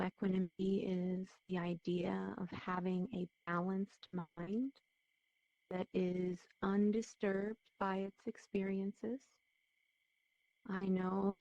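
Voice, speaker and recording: A woman guides a meditation very gently, with long, unhurried phrasing and an even, barely varying pitch. Her voice is soft-edged and dark, with a strong creak running through it. The recording is dry and slightly muffled. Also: dry recording. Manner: soothing, gentle, unhurried with pauses. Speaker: meditation teacher.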